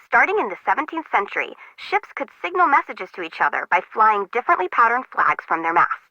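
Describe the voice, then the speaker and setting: Bubbly, bright young harbor worker. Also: female voice, fairly high pitch, very animated, brisk, no echo, highs cut off, heavily acted. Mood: enthusiastic explaining. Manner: bubbly, slangy, wry. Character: chatty, disorganized, excitable young female harbor worker reciting ship trivia. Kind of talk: video game voice acting.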